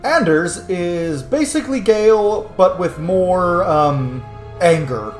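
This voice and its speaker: A man's voice: high-pitched, lively intonation, slow, faint music behind. A man giving casual let's play commentary on a video game, chatty and sweary with frequent asides. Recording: echoey room with faint background noise.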